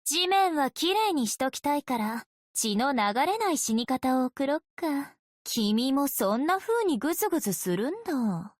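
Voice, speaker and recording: A woman's voice: high-pitched, big pitch swings, normal speed, no echo, clean studio sound. A woman voicing a coy, playful young female vampire in an anime-style game, with casually threatening undertones. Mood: cool, teasing. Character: teasing, sinister young female vampire, sweetly menacing. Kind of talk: game character voice lines in Japanese anime acting.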